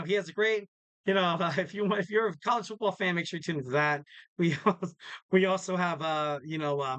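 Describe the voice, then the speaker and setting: A man's voice: fairly high pitch, a bit bright, no echo. A young man hosting a casual solo podcast, rambling and joking with lots of fillers and restarts. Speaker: podcast host.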